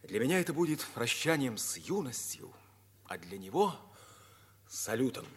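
An actor playing an ardent, commanding young pilot captain with a husky voice in a 1950s radio play. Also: male voice, mid-range voice, sing-song, moderate pace. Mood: bitter, resolute.

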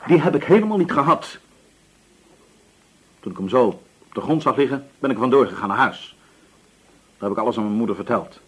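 A desperate young man accused of murder in a radio play. His voice is very rough and husky at a medium pitch, emotional and pleading, with a hot temper underneath. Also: animated, brisk, long pauses, low-quality recording. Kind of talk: Dutch radio drama acting.

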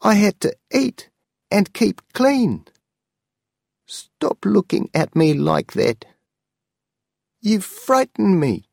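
A man's voice: high voice, big pitch swings, slow, gravelly, pauses often, darkish tone, no room sound, studio quality, voice pushed up, full character voice. A man voicing a very old woman, an anxious, pleading aunt in a storybook reading.

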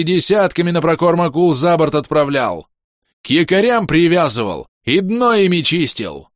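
Man doing a bellowing gruff ship's officer. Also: a little high, no room sound, studio quality, pitched above the performer's own voice. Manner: barking, drill-sergeant shouting. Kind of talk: audiobook character voice.

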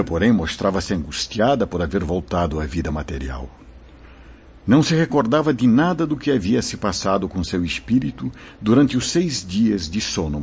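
Husky, expressive fatherly spirit mentor. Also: lowish voice, heavily acted. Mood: calm narrating. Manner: measured, earnest, formal preaching delivery. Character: fatherly male spirit mentor, gentle, solemn, reassuring. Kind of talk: radio drama acting. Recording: dull lo-fi radio drama recording.

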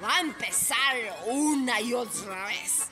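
Cheeky, slow-talking pirate mate played by an actress. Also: female voice, a little high. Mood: teasing. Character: cheeky, loyal, hard-drinking pirate under a captain. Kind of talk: radio drama acting. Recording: music under the voice.

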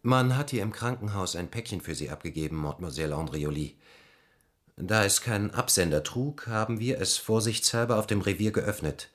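An actor playing a sober, businesslike police inspector in a radio play, formal and matter-of-fact. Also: male voice, lowish voice, expressive, a little fast, fairly bright tone. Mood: probing. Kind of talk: German radio play acting.

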